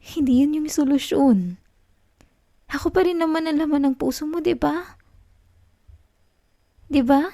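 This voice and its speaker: A woman plays a possessive young Filipino girlfriend who refuses to let go. Her voice is soft and mellow, with a creaky fry in it, recorded very dry and close. She pleads tenderly in an intimate ASMR roleplay. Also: high-pitched, measured pace, long pauses, no room sound. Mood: hurt, insisting. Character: clingy, possessive young student girlfriend in denial.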